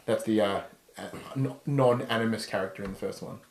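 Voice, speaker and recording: A man's voice: lowish voice, brisk, a little mellow, a little hiss or hum. A man hosting a podcast, chatting casually about film in an irreverent, sweary way. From Australia. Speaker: podcast host. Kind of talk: casual film review chat. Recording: roomy, echoing room sound.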